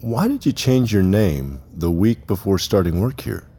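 A man playing a probing, unyielding police officer in an audio drama, questioning formally in clipped phrases with a gravelly, husky voice. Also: lowish voice, very animated, measured pace, warm tone, full character voice. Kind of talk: audio drama interrogation dialogue.

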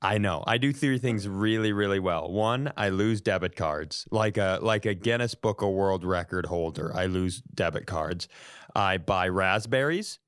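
A man's voice: deep voice, measured pace, fairly bright tone, no room sound. Wry American man in a dry, close recording. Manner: self-deprecating, wry interjections. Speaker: podcast co-host. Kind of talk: casual comedic banter.

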